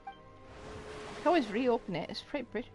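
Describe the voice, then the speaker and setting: A woman's voice: fairly high pitch, expressive, measured pace. A woman streamer giving casual live game commentary, musing aloud and asking herself questions, with faint game music behind her.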